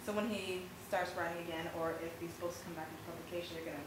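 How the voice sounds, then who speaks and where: A woman's voice: fairly low pitch, steady tone, fast talker, a crowd in the background. A woman informally moderating a live question and answer discussion. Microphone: distant camera mic. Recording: very echoey room, distant mic, noisy.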